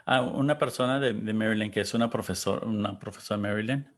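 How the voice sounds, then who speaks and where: Thoughtful Spanish-speaking man from Texas. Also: fairly low pitch. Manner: thoughtful, searching for words, pauses. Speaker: panel host reading audience comments. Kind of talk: casual reflective talk.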